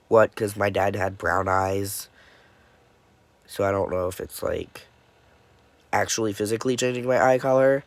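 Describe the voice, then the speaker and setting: A young man giving a casual personal update, stuffy and congested from a cold, dead tired, talking haltingly with many pauses and fillers. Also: fairly low pitch, creaky, dry recording. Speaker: vlogger.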